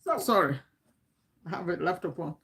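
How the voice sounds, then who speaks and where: A woman's voice: fairly low pitch, expressive, moderate pace, a bit bright. A woman in her fifties with a Ugandan accent gives an informal motivational talk as a coach, emphatically repeating phrases and asking rhetorical questions. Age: 50s. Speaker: online business coach.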